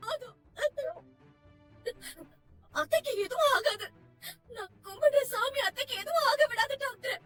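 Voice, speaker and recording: Young woman crying down the phone, really high voice, a bit far off with music under it. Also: fairly bright tone. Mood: tearful relief. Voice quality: sobbing, shaky. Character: distraught young woman, tearful, devout. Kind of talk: TV serial acting.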